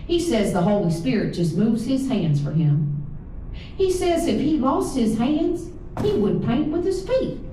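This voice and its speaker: A woman's voice: medium pitch, warm tone. A woman with a Southern US accent giving a live reading of her folksy, drawling first-person stories. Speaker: author reading own stories. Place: live reading in an indoor event room. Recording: echoey room from far off, faint noise.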